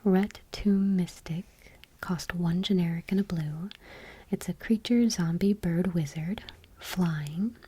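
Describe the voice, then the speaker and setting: Soft, husky whispering woman, ASMR. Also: lowish voice, slow. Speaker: host of an ASMR channel. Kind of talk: ASMR soft-spoken card reading.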